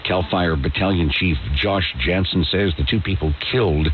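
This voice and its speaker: A man's voice: low-pitched, moderate pace, noisy background. A man reading scripted news as a radio anchor over a thin, narrow-band broadcast. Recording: tinny phone-like radio broadcast.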